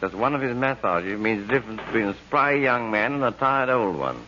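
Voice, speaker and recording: A man's voice: medium pitch, expressive, a little fast, bright, forceful tone. A man acting a put-upon middle-aged husband in an old-time radio comedy, complaining and making dry wisecracks. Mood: grumbling. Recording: noisy, dull vintage radio recording.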